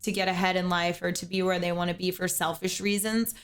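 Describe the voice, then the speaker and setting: A woman's voice: fairly low pitch, flat delivery, fairly quick. A young woman hosting a livestream, giving a caller earnest advice in a casual chat and repeating words as she thinks. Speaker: streamer host giving advice.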